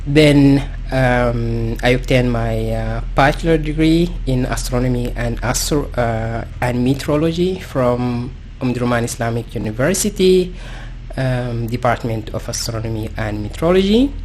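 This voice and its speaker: A man with a Sudanese accent speaks slowly in a medium-pitched voice, searching for words as he goes. He sounds like a guest expert explaining things without a script, hesitant and halting, over some light background noise. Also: darkish tone. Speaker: guest astrophysicist. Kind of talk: unscripted self-introduction, explanatory talk.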